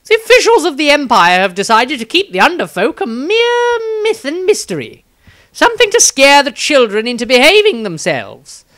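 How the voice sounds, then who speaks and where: A man voicing an excitable, cynical male adventurer musing theatrically in a storybook diary. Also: very high-pitched, normal speed, dry recording, clean studio sound, pitched above the performer's own voice, full character voice. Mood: musing, dramatic. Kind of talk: dramatic storybook reading.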